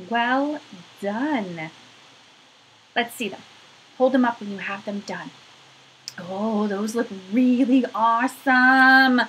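A woman's voice: on the high side, pushed and bright, a little hiss or hum, low-quality recording. A woman in her thirties leading a craft activity for young children, talking to them directly in an encouraging way, recorded on a webcam at home. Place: small furnished room at home, indoors.

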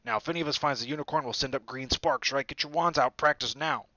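A man voicing a big, fierce male groundskeeper with a booming, rustic way of talking. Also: quick pace, highs cut off, noisy background, close to an everyday voice. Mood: gruff, stern. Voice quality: booming, broad rustic dialect.

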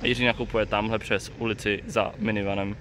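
A man's voice: fairly low pitch, level tone, fairly bright tone. A man narrating a casual travel vlog outdoors, recorded on a handheld phone. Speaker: travel vlogger. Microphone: handheld phone behind the camera. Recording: noisy, distant-sounding outdoor phone recording.

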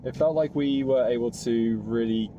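Man, 30s, travel vlogger, casual recap in a car, easygoing, mid pitch, heavy cabin noise. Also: normal speed, muffled recording. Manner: relaxed, chatty, easygoing. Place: inside a parked car. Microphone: dashboard camera mic. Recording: noisy car interior with air conditioning.

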